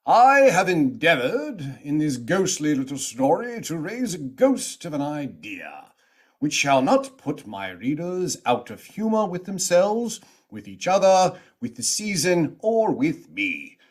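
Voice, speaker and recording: A man narrating a radio play as a theatrical storyteller, with a husky voice and a dramatic, relishing delivery. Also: on the high side, wildly swooping pitch, slow pace, full character voice. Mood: solemn, inviting.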